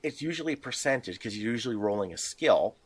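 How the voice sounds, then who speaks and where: A man's voice: medium pitch, lively intonation, fast, breathy edge. A man chatting casually about tabletop game design, explaining things with little acted-out examples.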